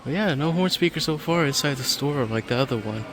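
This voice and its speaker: A man's voice: mid-range voice, expressive, fairly quick. A man giving casual, off-the-cuff commentary while filming a walk through a big hardware store. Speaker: vlogger. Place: inside a large hardware store. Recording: store music in the background. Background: store music playing.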